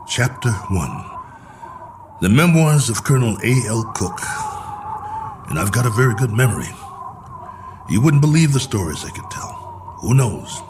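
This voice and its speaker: An actor playing a lonely stranded space colonel in a radio drama, musing in a wry, world-weary way. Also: male voice, mid-range voice, background noise. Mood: wistful, musing.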